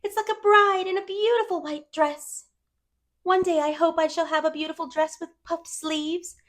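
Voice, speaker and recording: A woman's voice: very high-pitched, fairly even intonation, normal speed, fairly bright tone, voice pushed up. A woman voicing a talkative, dreamy eleven-year-old orphan girl with effusive wonder and dramatic emphasis, in a storybook reading. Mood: delighted, wistful.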